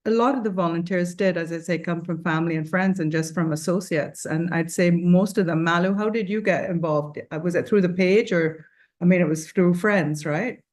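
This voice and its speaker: A woman's voice: on the low side, normal speed, a little mellow. Earnest rambling woman on a panel. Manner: earnest, rambling with many fillers and restarts. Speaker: charity founder speaking on a panel. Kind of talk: casual panel discussion.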